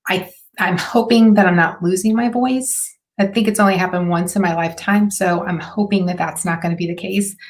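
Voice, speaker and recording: A woman with a hoarse, raspy voice hosts a live marketing stream. Her voice sits fairly low, and she chats along loosely with plenty of fillers. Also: steady tone, a little fast. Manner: chatty, with frequent fillers. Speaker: host.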